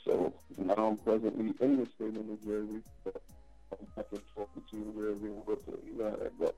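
A man in his forties, born in Trinidad and Tobago, talking by phone as a guest DJ in a casual interview, loud and animated as he tells his story. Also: lowish voice, brisk, soft background music, rough recording. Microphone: phone line.

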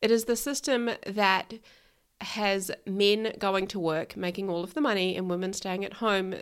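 A woman's voice: medium pitch, fairly even intonation, normal speed, clear, resonant tone. A woman with a New Zealand accent hosts her own podcast in a frank, outspoken monologue. Her voice sounds a little nasal and stuffed up from a recent illness, and she doesn't shy from swearing. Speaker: podcast host, life coach. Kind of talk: casual solo podcast monologue.

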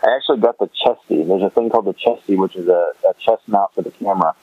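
A man's voice: low-pitched, fast talker, breathy edge, no echo, phone-quality sound. A man chatting casually as a podcast guest, easygoing and given to rambling explanations, over a remote call. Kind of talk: casual conversational chat.

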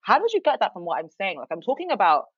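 A young woman co-hosting a podcast, talking in an outspoken, expressive casual way. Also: medium pitch, fast, slightly rough, no room sound. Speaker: podcast co-host. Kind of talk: casual chat. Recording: dry, dull muffled sound.

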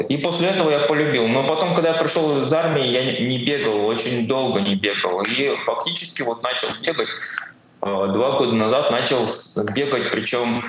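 A man in his forties talks casually as an interview guest, feeling his way through broken phrases. His pitch is average, and the recording is strongly reverberant with faint noise in the background. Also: fairly bright tone, lo-fi sound. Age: 40s. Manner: halting, thinks aloud in broken phrases. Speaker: podcast guest, amateur barefoot ultrarunner. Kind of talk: casual interview answers, personal storytelling.

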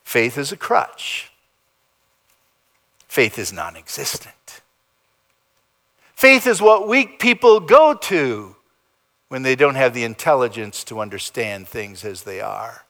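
A man preaching a sermon as pastor, in a dramatic style that swings between loud emphasis and soft, low endings. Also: big pitch swings, slow, long pauses. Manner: dramatic preaching with big swings in pitch and loudness. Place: church sanctuary.

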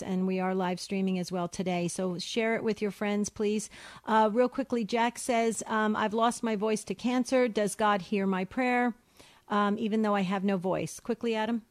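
Brisk upbeat woman radio host. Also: mid-range voice, steady tone, no echo. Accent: New Jersey. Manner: brisk, upbeat, hurried wrap-up. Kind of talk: call-in radio talk.

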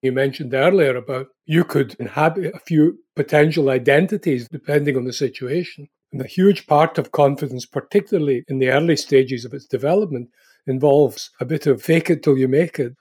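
A man's voice: mid-range voice, a little fast, a little mellow, breathy edge. A man hosting a podcast interview, summing up his guest's points in an encouraging way. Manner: summarizing, encouraging. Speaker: podcast host. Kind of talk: interview questions.

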